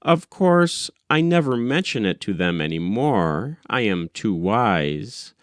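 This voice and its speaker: Slow, smooth-voiced man narrating expressively. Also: fairly low pitch, sing-song, no echo, studio quality. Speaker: audiobook narrator. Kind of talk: expressive literary narration, reading aloud.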